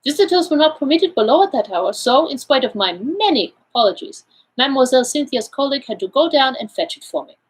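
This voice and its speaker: Lady doing a precise belgian detective guy from an audiobook, pitched way up, kinda husky, formal and sure of himself. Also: expressive. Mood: calm, assured. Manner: deliberate, formal, explanatory. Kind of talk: audiobook character dialogue.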